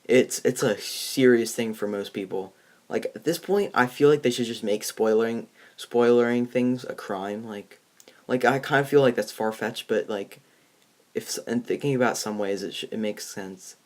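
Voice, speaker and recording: A teenage boy in a casual movie discussion, talking in a halting, rambling way. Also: mid-range voice. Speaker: movie review host. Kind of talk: casual chat.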